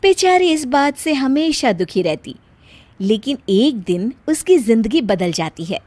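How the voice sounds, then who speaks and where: Woman, story narrator, high pitch, lively intonation, scripted cartoon narration, dry sound. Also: unhurried, no room sound.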